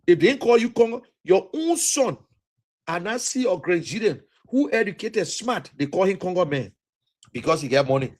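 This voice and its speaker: A man's voice: high-pitched, big pitch swings, moderate pace, darkish tone, faint music behind. A man from Liberia with a Liberian English accent gives an impassioned political talk, emphatic and repetitive, with a preacher-like cadence.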